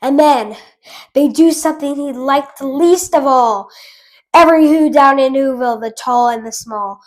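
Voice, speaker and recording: Storytime host, woman; friendly chatty read-aloud, slow delivery, high voice. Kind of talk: reading a picture book aloud.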